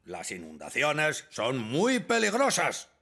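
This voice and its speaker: Authoritative older animated chief, stern, creaky voice, faint music behind. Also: male voice, mid-range voice, slow, heavily acted. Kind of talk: animated children's series dubbing.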